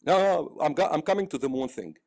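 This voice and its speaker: A man with an Arabic accent and Arabic as his first language, calling into a live debate, talking haltingly with many fillers and repeated words. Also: a little high, lively intonation, a little mellow. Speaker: caller.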